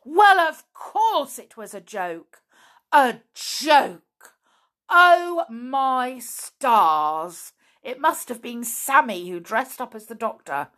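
A woman voicing a grumpy, excitable male rabbit who bursts out loudly. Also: mid-range voice, measured pace, few pauses, natural voice. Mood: indignant. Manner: blustering, loud outbursts.

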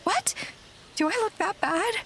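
A woman's voice: very high-pitched, lively intonation, unhurried, fairly bright tone, slight background noise, full character voice. A woman in her thirties playing an insecure, dramatic struggling actress in a comedy audio drama. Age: 30s. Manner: dramatic, self-doubting.

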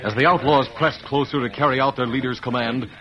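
A man's voice: medium pitch, steady tone, fast. Dramatic male old-time radio narrator. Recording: muffled vintage radio recording with music. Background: music.

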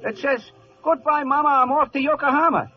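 A man's voice: very high voice, brisk. A man playing a dim, worried but loyal gangster underling in old radio comedy, deferential and ungrammatical. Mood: awed. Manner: deferential, uneducated slangy grammar. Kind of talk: 1940s radio comedy acting. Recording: muffled, noisy old radio broadcast.

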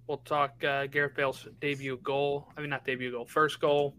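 A man's voice: mid-range voice, flat delivery, normal speed, fairly bright tone, slight background noise. A man co-hosting a podcast, talking soccer in a casual, conversational way and often checking in with "right?". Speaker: podcast co-host.

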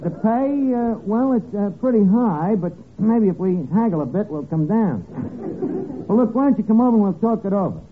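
An actor playing a brash, wisecracking tavern manager with a streetwise New York accent and slangy speech, in 1940s radio comedy style. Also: male voice, sits high, expressive, moderate pace. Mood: breezy hustling. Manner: slangy, malapropism-prone, streetwise patter. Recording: tinny, noisy old radio broadcast, audience behind.

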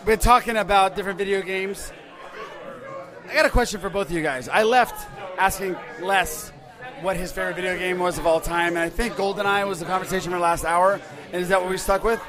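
A man's voice: high-pitched, fairly even intonation, a little fast. A man hosting a casual podcast chat, asking questions in an enthusiastic manner. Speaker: podcast host. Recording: busy crowd noise around the voices.

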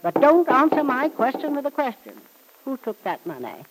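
A woman's voice: high-pitched, very animated, moderate pace, noisy background. An actress playing a brusque, imperious wealthy old woman in a vintage radio serial, with formal, commanding diction. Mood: imperious, impatient. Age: older. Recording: vintage radio drama recording.

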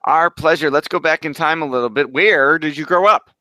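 Man, podcast host, bright tense tone, dry sound, eager. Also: on the high side, fairly quick, dry recording. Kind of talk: interview.

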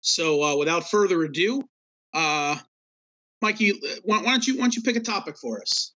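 Rambling New podcast host, muffled. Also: male voice, high voice, expressive, moderate pace, sharp tone, no echo. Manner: laid-back, rambling with many fillers. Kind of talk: casual hockey podcast chat.

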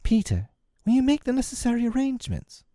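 Casting: motherly young girl played by a man, high, prim and firm, storybook character with animated pitch. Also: slow pace, no room sound, studio quality. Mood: sharp. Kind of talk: children's storybook narration.

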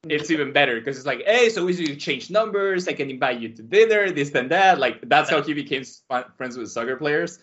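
Guy from mexico telling stories on a podcast, bright voice, kinda muffled audio. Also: a little high, brisk, hardly any pauses. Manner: animated, acts out quotes with a raised voice. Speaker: podcast guest. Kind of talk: casual storytelling. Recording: dull lo-fi sound.

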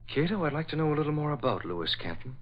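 An actor playing a calm, decisive newspaperman with a secret crimefighting life, in a measured, authoritative 1940s radio style. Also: a little high. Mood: determined. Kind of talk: 1940s radio drama acting. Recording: dull old radio recording.

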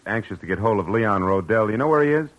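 An actor playing a tough private detective in a 1940s radio drama, dry and wisecracking. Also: male voice, lowish voice, animated, quick pace, narrow, tinny audio, close to an everyday voice. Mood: urgent. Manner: dry, hard-boiled, wisecracking. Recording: noisy old radio broadcast recording.

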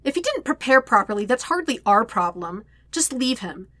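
A woman's voice: on the high side, sing-song, normal speed, fairly bright tone, dry recording, pitched above the performer's own voice. A woman voicing a stubborn, scornful young woman in a story reading, sarcastic and curt.

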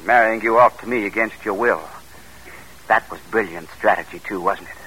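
An actor playing a proud, formal gentleman in an old-time American radio drama, with declamatory delivery. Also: on the low side, normal speed, slight background noise, rough recording. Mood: bitter. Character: proud, formal gentleman, stiff and easily affronted. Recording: vintage radio broadcast.

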